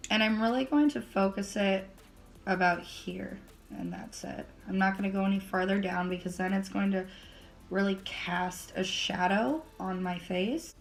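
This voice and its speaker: Slightly raspy, gravelly young woman. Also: medium pitch. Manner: chatty, filler-heavy step-by-step explaining. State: raspy voice recovering from laryngitis. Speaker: beauty vlogger. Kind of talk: casual makeup tutorial.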